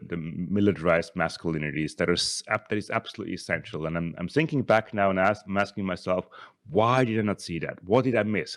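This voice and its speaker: A man, giving an academic conference talk in a thoughtful, halting way, often repeating and restarting words. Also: low voice, no room sound, clean studio sound. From Switzerland. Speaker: conference speaker, scholar.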